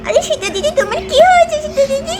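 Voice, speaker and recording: A woman voicing a gentle, caring and obedient young doll housemaid in a children's cartoon, speaking earnestly and deferentially. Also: extremely high pitch, animated, quick pace, pitched above the performer's own voice. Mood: worried.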